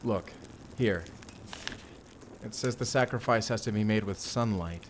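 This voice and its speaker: Guy acting a determined vampire slayer, kinda deep, serious n earnest, audio rp with lots of background noise. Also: lightly acted. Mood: earnest, resolute. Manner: earnest, measured, explanatory. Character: determined Spanish vampire slayer, adult man, earnest protector. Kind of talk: cinematic audio roleplay acting. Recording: clearly noisy ambience behind the voice.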